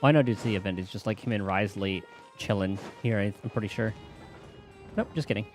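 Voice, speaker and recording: A man's voice: on the low side, a little fast, a little mellow, faint music behind. A man streaming a game, chatting casually and reading the game's text aloud.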